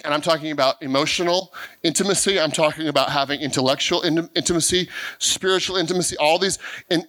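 A middle-aged man preaching with a high, bright, forceful voice. He speaks earnestly and stumbles over repeated words as he builds each point. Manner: earnest preaching with frequent restarts and stammered repeats; acts out quoted voices of people he describes. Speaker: pastor. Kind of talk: sermon.

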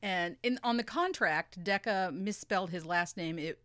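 Podcast co-host, woman, young adult, low-ish voice, deadpan storyteller, dead-dry close mic. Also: moderate pace, no room sound. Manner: dry, matter-of-fact storyteller. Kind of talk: casual chat, reading history notes.

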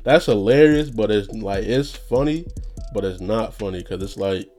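A man's voice: fairly low pitch, lively intonation, moderate pace, darkish tone, faint music behind. A young man talking in a loose, rambling, profane monologue, a little nasal, laughing often. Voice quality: slightly nasal. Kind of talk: casual monologue.

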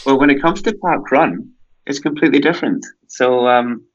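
A man's voice: medium pitch, lively intonation, normal speed, muffled recording. A Scottish man from Scotland, a guest on an interview podcast, talking enthusiastically and rambling through long lists. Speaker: parkrun Canada guest.

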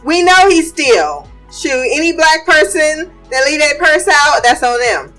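A high-pitched woman hosts a casual chat show, talking in a bright, forceful tone. She is chatty and blunt, swears freely and moves quickly from gossip to trivia. Faint music runs underneath. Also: sing-song. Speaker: podcast host. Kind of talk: casual pop culture chat and fun facts.

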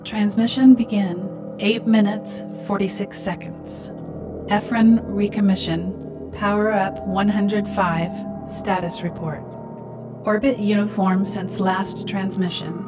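A woman playing a coolly formal satellite in a radio drama, reciting in a measured, deliberate way. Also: medium pitch, fairly even intonation, natural voice. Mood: formal, mechanical. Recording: loud music under the voice.

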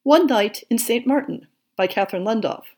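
A woman hosting a podcast, reading a scripted monthly update in a measured, enthusiastic way. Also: on the high side, animated, a little mellow. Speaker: podcast host.

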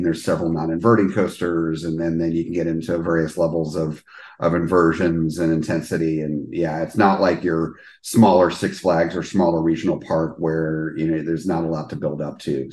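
A man's voice: deep voice, darkish tone, echoey room. A man hosting a podcast interview, chatting casually and rambling with many restarts. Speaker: podcast host.

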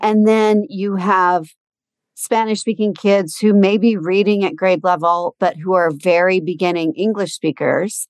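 A woman podcast host narrating a story and asking interview questions in a clear, scripted way. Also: on the low side, no echo.

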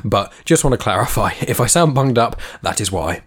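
A man's voice: on the low side, animated, moderate pace, slightly rough. A man hosting a podcast, talking with a congested, blocked nose from hay fever in a chatty, rambling way. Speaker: podcast host. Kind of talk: casual podcast intro.

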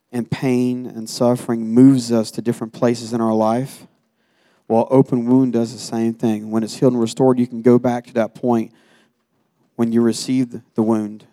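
A man's voice: medium pitch, warm tone. A man giving a sermon as personal testimony in an earnest, exhorting manner. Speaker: guest preacher giving a testimony.

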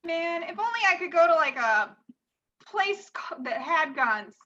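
A woman improvising comedy scenes in a casual style. Her voice is high and bright, and the recording sounds slightly dull and lo-fi. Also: big pitch swings, a little slow.

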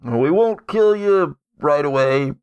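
A man voicing a menacing attacker yelling threats in a tabletop horror game. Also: on the high side, lively intonation, slow, clean tone, dry recording, voice pushed up. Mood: taunting, menacing.

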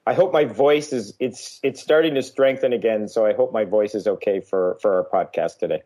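A middle-aged man who is getting over a cold talks as a guest on an interview show. His voice sits low and sounds dark and mellow. He speaks in a relaxed, steady way and often pauses on "uh". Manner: relaxed, steady, with frequent uh fillers. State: recovering from a cold. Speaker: guest performance coach. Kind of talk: casual interview talk.

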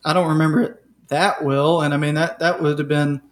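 A man's voice: a little high, fairly even intonation, brisk. A man, co-hosting a sports podcast, reminiscing with hesitant fillers. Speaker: podcast co-host. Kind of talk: casual sports chat.

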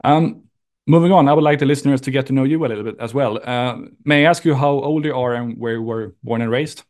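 A man's voice: mid-range voice, fast talker, a little mellow. A man asking questions as a podcast host in a casual interview style. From Sweden.

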